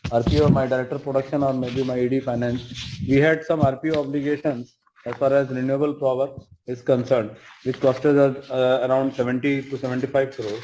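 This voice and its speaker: A man with an Indian accent answering as a company executive on an earnings call, speaking haltingly and repeating phrases. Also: medium pitch, flat delivery, warm tone, slight background noise, low-quality recording. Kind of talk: spontaneous business answers on a conference call.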